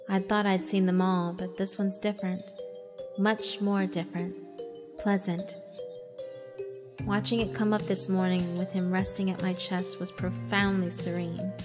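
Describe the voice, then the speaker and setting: A woman's voice: lowish voice, close to an everyday voice. Woman voicing a wistful, weary female fiend. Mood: serene. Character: gentle female fiend, ancient, weary, wistful, newly freed. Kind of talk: dramatic visual novel narration.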